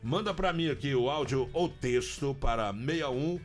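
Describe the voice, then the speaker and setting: A man's voice: medium pitch, animated, normal speed. A man with a Brazilian accent hosting live morning radio, bantering in a playful, teasing, exclamatory way. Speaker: radio host. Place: radio studio. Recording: music playing underneath. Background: music bed.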